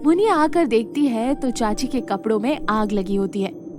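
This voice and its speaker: A woman's voice: fairly high pitch, animated, normal speed, music in the background. A woman narrating a scripted children's moral story as the storyteller.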